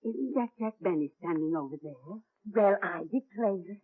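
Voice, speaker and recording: An actress playing a gushing, excitable older female admirer in a 1940s radio comedy, swooning and gossipy. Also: on the low side, moderate pace. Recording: tinny, very noisy old radio recording.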